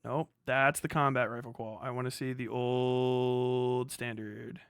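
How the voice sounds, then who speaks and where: A man's voice: medium pitch, little pitch movement, a little slow, a bit bright, clean tone, no echo, clean studio sound. A middle-aged man giving casual, rambling reaction commentary as a livestream host, full of slang and swearing. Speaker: livestream host, former Marine.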